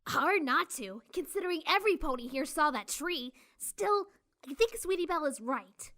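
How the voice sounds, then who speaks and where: Competitive kid pony played by a woman, very high bright voice, pushy, emphatic, cartoon storybook role. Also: expressive, moderate pace, heavily acted. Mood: determined. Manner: urging, emphatic. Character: bold, competitive little filly, eager and pushy.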